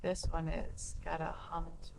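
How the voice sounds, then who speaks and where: A woman presenting a casual audio software tutorial, thinking aloud as she works. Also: sits low, monotone, measured pace, vocal fry, low-quality recording. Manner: thinking aloud while working. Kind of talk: casual screen-share tutorial.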